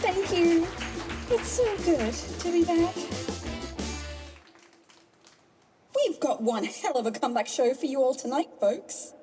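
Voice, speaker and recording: A woman's voice: high-pitched, animated, moderate pace. A woman playing a gloating, theatrical rabbit trickster villainess in an audio drama, mocking and hammy like a stage host. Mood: showboating, gleeful. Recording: music under the voice.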